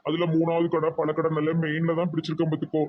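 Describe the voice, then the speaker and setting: A man playing a kind, principled big-brother figure in an amateur cartoon, earnest and gently advising, with a southern Tamil Nadu accent. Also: a little high, completely flat delivery, fast, dull audio, close to an everyday voice. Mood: advising, reassuring.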